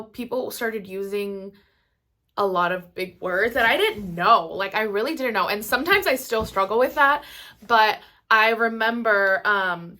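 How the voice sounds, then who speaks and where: A young woman in her twenties, a native Spanish speaker, chats to her viewers in a medium-pitched voice. She tells personal stories in a candid, informal way, peppering her sentences with "like" and "you know". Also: sharp tone. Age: 20s. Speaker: vlogger. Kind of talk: casual personal storytelling to viewers.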